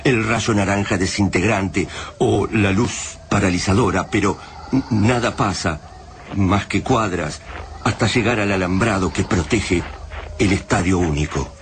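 An actor playing the grave narrator of an alien-invasion radio drama, solemn and literary, with an Argentine accent. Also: male voice, low voice, measured pace, slight background noise. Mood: tense.

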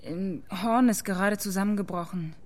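Cool, measured woman reporter, played by an actress. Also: mid-range voice, darkish tone, lightly acted. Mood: subdued, reporting. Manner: measured, matter-of-fact questioning. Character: cool, sceptical female journalist interviewing a scientist. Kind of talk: radio drama acting.

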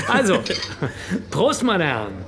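An actor playing a brash, callous business partner in a radio play, blustering and jeering in a coarse manner. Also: male voice, sits high, bright, forceful tone, full character voice. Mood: boisterous. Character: brash, callous male business partner, crude and self-righteous.

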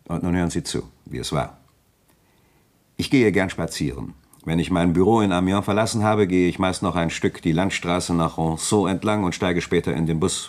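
Deep male voice, suave notary, articulate, condescending, self-assured, radio drama. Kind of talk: German radio play acting.

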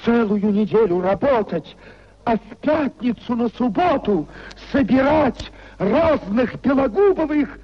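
Despairing young idealist husband, old radio play, slow and theatrical, high swooping voice. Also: male voice, expressive, exaggerated voice. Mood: bitter despair. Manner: theatrical, emotional, with drawn-out repetitions. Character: anguished idealistic young husband abandoning his principles in despair. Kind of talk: 1950s radio play acting. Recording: dull 1950s radio drama recording.

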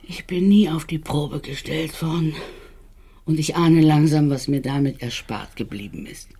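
Radio drama: conscience-stricken woman assistant, low, husky, hesitant, searching, earnest. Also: moderate pace, mellow. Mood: anxious, confessional. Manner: hesitant, searching, dropping into whispers. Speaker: actress. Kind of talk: German radio drama dialogue acting.

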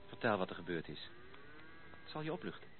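A low, lively-pitched gentleman speaks through a thin old radio recording. He is earnest, courteous and insistent, with the formal manners of period drama. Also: a little fast, narrow, tinny audio, slight background noise. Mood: earnest, coaxing. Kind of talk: Dutch radio play acting.

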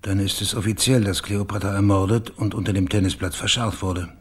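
A husky, fairly deep male investigator in a radio crime drama. He sounds matter-of-fact and dogged, pressing on in a businesslike way. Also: fairly even intonation, fairly quick.

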